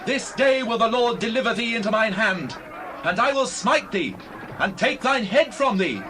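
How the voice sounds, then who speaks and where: A bold young shepherd boy, acted by a man, speaks in a high, earnest, declamatory voice. He sounds devout and fearless, as in a scripture drama, with some noise behind him. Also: expressive, normal speed, a crowd in the background. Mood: defiant.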